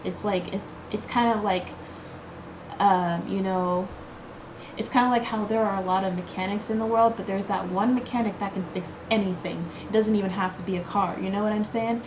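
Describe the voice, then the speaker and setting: A woman's voice: medium pitch, fairly even intonation, normal speed, noisy background. A woman giving a casual tarot card reading to her viewers. She talks in a reassuring, chatty way, full of fillers and repeated "okay?" checks. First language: English.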